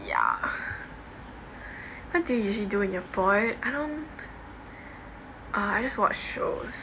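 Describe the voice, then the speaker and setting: Young Singaporean woman, livestream host, medium-pitched and offhand, slangy casual chat. Phone mic in a room with clear background noise. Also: expressive, a little slow. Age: young adult. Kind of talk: casual livestream chat, reading and answering comments. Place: home bedroom, indoors. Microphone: phone at arm's length. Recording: noisy phone recording in a room.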